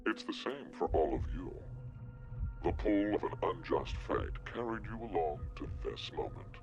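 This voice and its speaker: An actor playing a calm, sinister mastermind in a video game, speaking in a measured, theatrical and ominous way. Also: male voice, lowish voice, highs cut off, faint music behind, natural voice. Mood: commanding, detached. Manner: measured, deliberate, ominous storytelling. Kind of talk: video game villain monologue.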